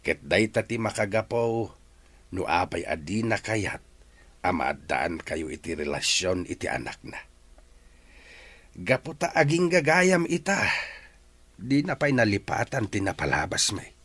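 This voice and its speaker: A man's voice: on the low side, big pitch swings, a little slow, pauses often, a bit bright, no room sound, heavily acted. An actor playing a poor, regretful middle-aged father in an Ilocano audio drama, pleading earnestly with his son. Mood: apologetic, pained.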